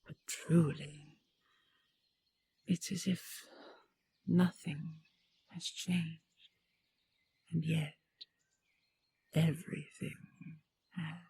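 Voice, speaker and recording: A woman playing a regal queen and tender lover in a romantic fantasy audio roleplay, breathy and often whispering, with lingering hums and pauses. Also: deep voice, level tone, dark tone, exaggerated voice. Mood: tender, reflective.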